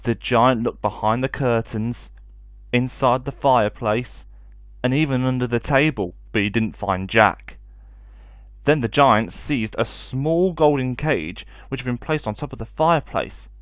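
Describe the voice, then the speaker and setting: A man's voice: medium pitch, unhurried, no echo. Man reading a children's story.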